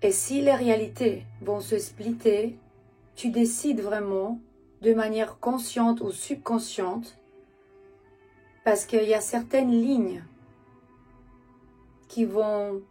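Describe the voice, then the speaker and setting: A woman speaks in a deeply breathy, airy voice, drawing out her words very slowly. She delivers a soothing spiritual message as a second-language speaker, halting and correcting herself now and then. Faint music plays softly behind her. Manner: gentle, soothing, halting with pauses and self-corrections. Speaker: spiritual messenger. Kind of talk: slow meditative spiritual message to viewers.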